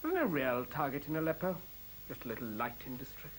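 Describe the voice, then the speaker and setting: A self-assured middle-aged scientist in a futuristic radio play. He is wry and matter-of-fact, yet his pitch moves around a lot. A faint hiss lies under the recording. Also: sing-song, brisk, fairly bright tone, poor audio.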